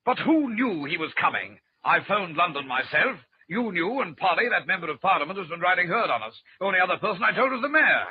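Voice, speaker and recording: High-voiced, flustered colonial commissioner. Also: male voice, sing-song, a little fast, few pauses. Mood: flustered alarm. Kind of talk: 1940s radio drama acting. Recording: dull, slightly noisy old radio recording.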